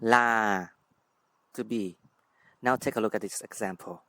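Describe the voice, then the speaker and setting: A man from Vietnam with a Vietnamese accent, a native speaker teaching his language in English with careful, deliberate explanations. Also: fairly low pitch, fairly even intonation, sharp tone. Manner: careful, deliberate, spells out words. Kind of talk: scripted language lesson.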